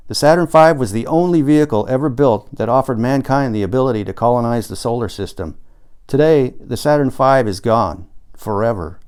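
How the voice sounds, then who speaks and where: Guy doing an indignant conspiracy nut, insistent explaining, clear voice, audiobook character. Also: medium pitch, a little mellow, natural voice. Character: emphatic male rocket-program conspiracy believer, indignant and secretive.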